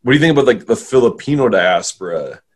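A man's voice: mid-range voice, lively intonation, quick pace, slightly rough. A man from Alberta, Canada, hosting a casual chat and rambling through questions, full of "like". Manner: rambling, heavy use of "like". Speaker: host.

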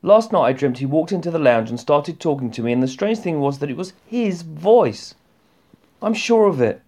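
A man voicing an exasperated wife, pitched up. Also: expressive, moderate pace, darkish tone. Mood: wistful. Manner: indignant, emphatic. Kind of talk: audiobook-style novel reading.

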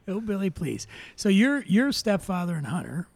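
A man's voice: sits high, very animated, normal speed, creaky, a little mellow, dry recording. A man hosting a casual chat, asking playful, jokey questions.

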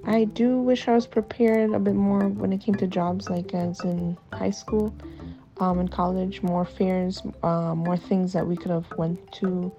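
A woman's voice: fairly low pitch, flat delivery, unhurried. A woman answering interview questions casually and hesitantly, with many fillers. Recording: dull lo-fi audio with faint music.

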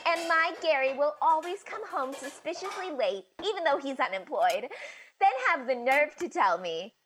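A woman's voice: sits high, expressive, moderate pace, a bit bright, slight background noise. A woman playing a bitter, scheming housewife who hosts a cooking show in a comedy radio play, mock-cheerful and sardonic. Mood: sardonic, perky.

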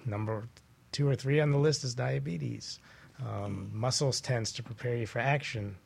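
A man speaking as a chiropractor guest on a talk show, explaining in a measured, halting conversational way. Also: mid-range voice, gravelly, slightly rough.

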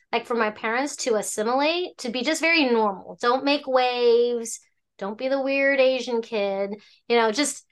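Woman, 40s, born in Hong Kong, raised in Alaska; rambling, chatty podcast guest with a slightly high voice. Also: a bit bright. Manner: chatty, rambling, frequent restarts and fillers. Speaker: tea shop owner, guest. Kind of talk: casual interview storytelling.

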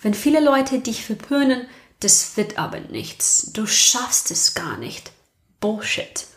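Woman, young adult, non-native accent in German, fairly high voice, earnest podcast monologue, speaks straight to listeners. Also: very animated, slow. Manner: earnest, addresses listeners directly with questions. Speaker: podcast host. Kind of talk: personal reflective podcast monologue.

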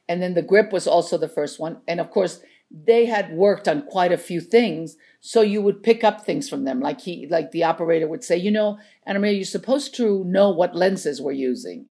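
Older Chilean woman, slightly low voice. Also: dark tone. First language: Spanish. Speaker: interview guest, veteran script supervisor. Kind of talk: casual anecdotal storytelling.

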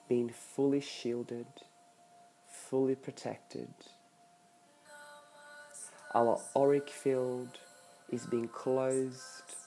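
A meditation guide speaking soothingly and softly in short phrases with long pauses between them. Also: mid-range voice, rough recording. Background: background music.

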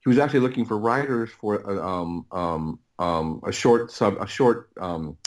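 A middle-aged man telling stories casually as an interview guest, often doubling back and breaking off his phrases. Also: on the low side. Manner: halting, repetitive, rambling anecdotes with self-interrupted phrases. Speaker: podcast guest, writer and audio producer.

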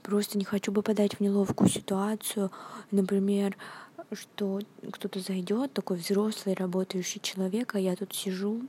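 A woman's voice: mid-range voice, flat delivery, moderate pace, mellow, breathy edge. A young woman hosting her own podcast, rambling hesitantly with many fillers and restarts, often half-whispering into her phone at work. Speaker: podcast host. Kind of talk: casual rambling monologue. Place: at her workplace, indoors.

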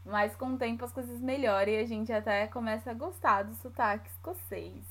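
A woman's voice: fairly high pitch, brisk, fairly bright tone. A woman from São Paulo, speaking Portuguese with a paulista accent, casually telling her own story in conversation. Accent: São Paulo Brazilian Portuguese. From São Paulo, Brazil.

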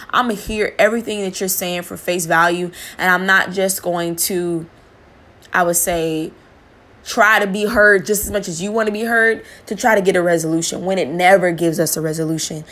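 A young woman with a somewhat low-pitched voice talks in an earnest, candid way. She reflects openly on her own habits, in loose, casual phrasing with the odd restart. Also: fairly bright tone. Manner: casual speech with fillers and restarts. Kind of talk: reflective personal talk.

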